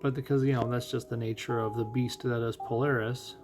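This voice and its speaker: A man giving casual game commentary as host of a playthrough, thinking out loud and putting questions to himself. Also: mid-range voice, level tone, fairly quick. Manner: thinks out loud, asking and answering own questions. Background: music.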